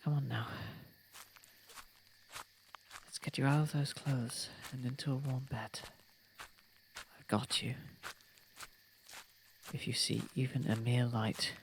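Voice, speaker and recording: Casting: male actor as a courteous, protective vampire for ASMR drama; whispery, formal, heavy vocal fry, noisy ambient backdrop. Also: medium pitch. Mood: gentle, reassuring. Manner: soft-spoken, often whispering, formal wording. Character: posh, cozy, gentle male vampire, protective rescuer. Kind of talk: ASMR roleplay audio drama.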